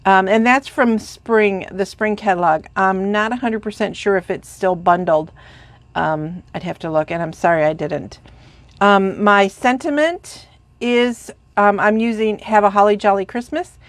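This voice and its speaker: A woman's voice: medium pitch, unhurried, no room sound. A congested, sniffling woman chatting through a crafting tutorial, rambling with lots of fillers and gushing about supplies. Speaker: craft demonstrator.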